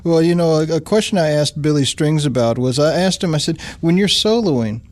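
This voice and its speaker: A man's voice: fairly high pitch, fairly quick. A man hosting a radio interview in a studio, asking long, curious questions and affirming his guest. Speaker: radio show host. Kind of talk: interview conversation.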